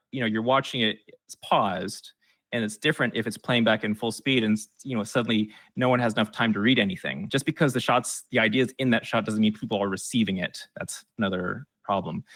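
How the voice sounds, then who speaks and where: A man's voice: medium pitch, a bit bright, dry recording. A man explaining things as an expert guest in a casual interview, often acting out imagined audience reactions in a conversational way.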